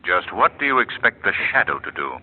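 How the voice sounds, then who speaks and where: An actor playing a mysterious, sardonic crime fighter, deliberate and dryly polite, in 1930s radio style. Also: male voice, on the low side, big pitch swings, slightly rough. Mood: cool, sardonic. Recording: tinny vintage radio recording.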